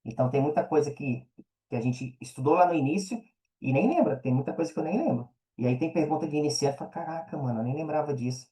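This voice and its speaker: Mellow Brazilian man chatting informally. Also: a little high, fast talker, poor audio. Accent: Brazilian Portuguese. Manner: informal, slangy, frequent check-in tags. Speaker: interview guest, affiliate marketer and mentor. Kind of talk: casual conversational interview answers.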